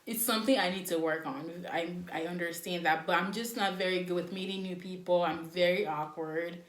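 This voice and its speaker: A woman in her twenties co-hosting a podcast, talking softly and hesitantly with many fillers. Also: lowish voice, steady tone, fairly quick. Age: 20s. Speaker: podcast co-host. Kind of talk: casual chat. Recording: echoey room.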